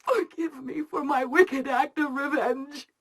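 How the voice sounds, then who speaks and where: Grieving penitent mother, high voice, slow, breathy, pleading prayer, game drama. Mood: hushed, penitent. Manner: breathy, half-whispered, pleading. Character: anguished, penitent mother praying for mercy. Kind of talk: dramatic video game monologue.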